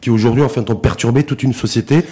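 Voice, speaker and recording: A man's voice: mid-range voice, quick pace, warm tone, slightly rough. A man hosting an interview, putting probing questions and rephrasing what he hears as he goes. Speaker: host, interviewer.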